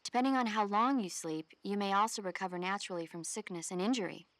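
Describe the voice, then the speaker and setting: A woman playing a caring, gently scolding doctor who gives instructive advice in a video game. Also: medium pitch, fast talker, no echo, lightly acted. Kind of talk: video game tutorial dialogue.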